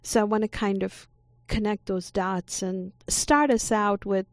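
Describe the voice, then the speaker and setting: A woman co-host asking a long, deliberate question that builds step by step. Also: medium pitch, steady tone, no room sound, studio quality. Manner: deliberate, connects ideas step by step. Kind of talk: measured interview question.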